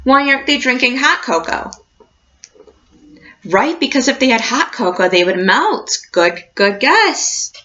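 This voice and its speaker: A woman reading a picture book aloud like a teacher, expressive and encouraging, addressing young children. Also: sits high, unhurried, muffled recording. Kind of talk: storybook read-aloud with questions to a class.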